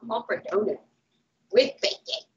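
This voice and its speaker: A woman in her thirties with a raspy voice, chatting casually and playfully as a guest on a live stream. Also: a little high, wildly swooping pitch, moderate pace, dull audio. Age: 30s. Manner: playful, exclamatory. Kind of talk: casual chat.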